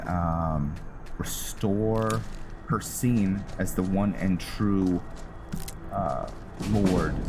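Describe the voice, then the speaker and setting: Man, deep voice, slow, halting commentary, gameplay music loud. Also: background noise. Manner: halting, many fillers and restarts. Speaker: roleplay gaming host. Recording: voice over loud game music.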